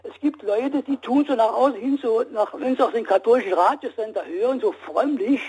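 A man in his sixties calling in to a radio show, telling his story haltingly with many restarts. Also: extremely high pitch, darkish tone, husky, poor audio. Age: 60s. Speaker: caller. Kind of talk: call-in radio testimony.

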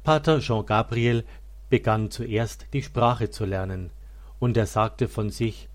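Male narrator for a religious biography, lower-pitched voice, solemn and measured scripted read.

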